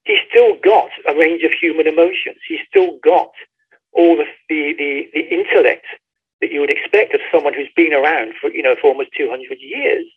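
A man's voice: on the high side, normal speed, breathy edge. A man speaking as an author being interviewed, talking in an articulate, storytelling way over a dull, lo-fi line. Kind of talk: conversational book interview.

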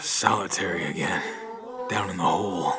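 An actor playing a tough, wry former convict with a decent streak, dry and hard-boiled, in a pulp audio drama. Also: male voice, sits low, normal speed, over music, close to an everyday voice. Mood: wry, weary.

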